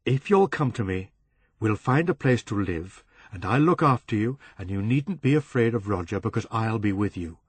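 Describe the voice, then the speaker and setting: A man's voice: on the low side, expressive, normal speed, husky, no echo. A man voicing a bitter, rash jilted man who snaps in a raised voice in a storybook reading. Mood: insistent. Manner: snapping, raised voice.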